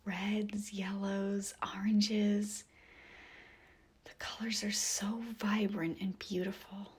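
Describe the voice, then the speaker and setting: A woman narrating a guided meditation visualization in a soft, breathy, whispery voice, with a gentle, soothing manner and long pauses. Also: medium pitch.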